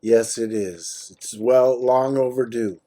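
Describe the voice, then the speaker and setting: A man's voice: medium pitch, slow, dark tone. A man with an American accent chatting casually on a phone call in a laid-back, matter-of-fact way, in short plain sentences. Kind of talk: casual personal phone chat.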